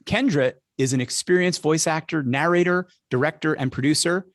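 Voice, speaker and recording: A man's voice: on the high side, normal speed, dry recording, studio quality. A man hosting a podcast, delivering scripted introductions and interview questions.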